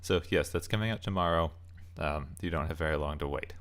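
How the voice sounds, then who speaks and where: A man's voice: very deep voice, a little fast. A man hosting a video channel, giving a casual, off-the-cuff opinion commentary and thinking aloud in broken phrases. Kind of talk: casual opinion rant about game movies.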